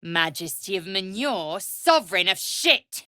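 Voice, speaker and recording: A woman playing a proud, fierce githyanki warrior in a video game, with a husky, raspy voice and a clipped, formal, commanding way of talking. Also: medium pitch, big pitch swings, unhurried, pushed and bright, dry recording, studio quality. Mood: scornful. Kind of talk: video game character voice lines.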